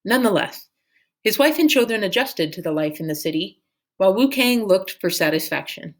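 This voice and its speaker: Expressive woman reading a story aloud. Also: medium pitch, brisk. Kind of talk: expressive read-aloud narration.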